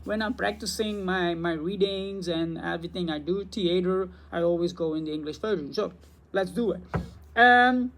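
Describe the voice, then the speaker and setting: A man from Belgium, a native Dutch speaker with a Belgian Dutch accent, chatting to camera as a spiritual teacher in an excitable, rambling way. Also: high-pitched, normal speed, slight background noise. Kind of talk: casual talk to camera.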